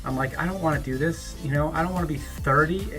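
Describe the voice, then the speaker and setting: A man in his thirties talking alone to camera in a rambling, halting way, often restarting his sentences and sometimes breaking into profane ranting. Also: on the high side, over music. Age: 30s. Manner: rises into an angry, profane rant. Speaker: YouTuber. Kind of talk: reflective birthday vlog monologue.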